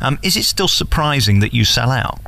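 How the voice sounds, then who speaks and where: Man, English accent, gravelly creak, medium pitch, effusive interviewer, conversational radio host style. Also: sing-song, normal speed, sharp tone, slight background noise. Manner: complimentary, effusive.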